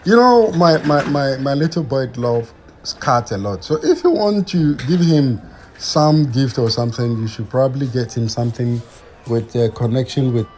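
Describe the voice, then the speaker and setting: A man from Ghana talks casually to his viewers at a mid pitch, stopping often on fillers and starting lines again. He is recorded outdoors on a family outing, with a faint hiss of open-air ambience behind him. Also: expressive, normal speed, a little mellow. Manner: hesitant, many fillers and restarts. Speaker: vlogger. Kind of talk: casual vlog chat.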